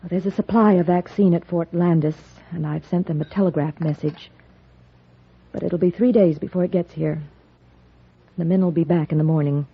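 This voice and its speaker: An actress playing a weary, dutiful, determined frontier doctor in an old radio western. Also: female voice, on the low side, fairly even intonation, moderate pace, breathy edge. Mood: grave, urgent. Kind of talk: 1950s radio western acting. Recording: dull old radio recording.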